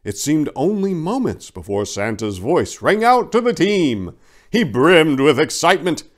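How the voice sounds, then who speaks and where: A man's voice: fairly high pitch, very animated, unhurried. Theatrical man with swooping delivery. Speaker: dramatic reader, channel host. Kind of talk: theatrical scripted story reading. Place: small indoor room lined with bookshelves. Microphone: lapel mic.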